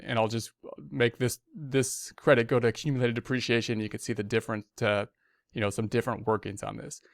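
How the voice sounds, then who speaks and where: A man's voice: mid-range voice, dry recording, studio quality. A man giving an informal tutorial, talking through each step conversationally and repeating himself as he goes. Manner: conversational, repetitive, talks through steps as self-directed speech. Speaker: accounting instructor. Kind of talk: informal spoken tutorial walkthrough.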